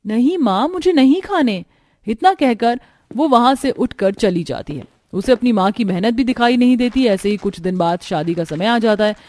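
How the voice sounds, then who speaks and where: A woman's voice: mid-range voice, lively intonation, moderate pace, a little mellow, no echo, clean studio sound. A woman narrator reading a scripted family story for a cartoon.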